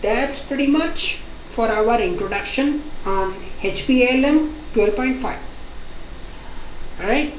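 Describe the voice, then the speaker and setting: Indian lady teaching a software class, talks fast and repeats herself, kinda roomy echo on the mic. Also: fairly high pitch, a little hiss or hum. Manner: repetitive, restarts often, fast-paced explaining. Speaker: software testing instructor. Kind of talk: online course lecture. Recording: echoey room.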